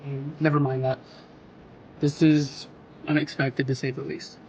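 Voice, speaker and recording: A man's voice: medium pitch, flat delivery, measured pace, a little mellow, a little hiss or hum, close to an everyday voice, low-quality recording. An actor playing a reserved, brooding male doctor who speaks tersely but politely in an audio romance drama.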